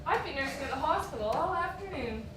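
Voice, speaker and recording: An actress playing a naive, trusting young society wife in a period stage play, speaking in an earnest, theatrical way. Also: female voice, high voice, expressive, fast. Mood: polite. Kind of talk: stage acting in a period one-act play. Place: theatre stage, recorded from the audience area. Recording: very echoey, noisy stage recording from far off.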